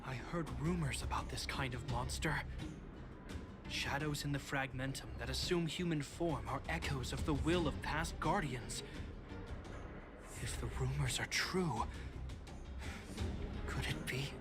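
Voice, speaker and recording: A man voicing a protective young soldier in a video game, earnest and measured, with a reassuring manner. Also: mid-range voice, close to an everyday voice. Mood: puzzled, wary. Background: game music.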